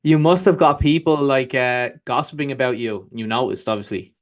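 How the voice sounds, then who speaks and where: Man, Irish accent, interview host, curious, encouraging, mid-pitched voice. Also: moderate pace. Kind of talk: casual interview.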